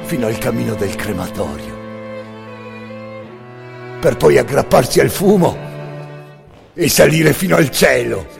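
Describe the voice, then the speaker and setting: A man with a gravelly voice performing an intense, incantatory scripted monologue in the second person, repeating phrases between long pauses. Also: medium pitch, animated. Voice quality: gravelly, creaky. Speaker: actor and storyteller of a solo radio theatre monologue. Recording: music bed under the voice. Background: music.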